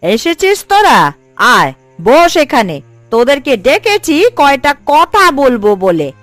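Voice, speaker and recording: A woman's voice: high-pitched, very animated, unhurried, no echo, soft background music. A woman voicing a bossy, affectionate elderly village aunt in a cartoon, with an emphatic, scolding, colloquial manner. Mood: firm, motherly. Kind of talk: Bengali cartoon story dubbing.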